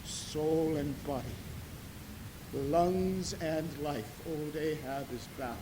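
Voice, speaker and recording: An obsessed old whaling captain acted by a man declaims with grim, slow solemnity in a live stage tragedy. The voice sits fairly high and rings through an echoey auditorium, with clear noise on the recording. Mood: fierce, vowing. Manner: declamatory, theatrical, solemn, archaic diction.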